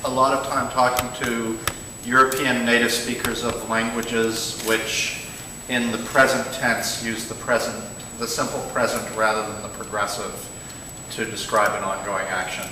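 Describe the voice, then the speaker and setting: A man's voice: fairly low pitch, flat delivery, normal speed. A man in the audience giving an explanatory comment in a conversational way. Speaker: audience member. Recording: distant echoey room mic, noise.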